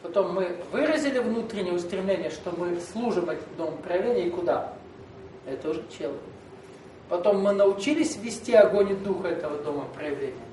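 A man lectures a gathered group, explaining and tossing out rhetorical questions. His voice sits high for a man. It rings around an echoey hall, picked up from a distance over faint background noise. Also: expressive, a little fast, mellow. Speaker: spiritual teacher.

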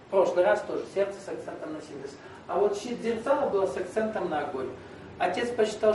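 High-voiced man lecturing in an echoey hall. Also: darkish tone. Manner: explaining, lecturing with rhetorical questions. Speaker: spiritual teacher. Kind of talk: lecture to a seated group. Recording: echoey hall, recorded from a distance with faint noise.

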